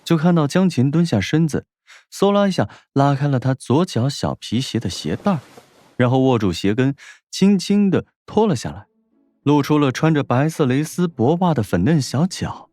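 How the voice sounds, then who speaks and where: A man's voice: mid-range voice, sing-song, moderate pace, mellow, no room sound. A man reading a novel as a dramatic scripted narration. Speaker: audiobook narrator.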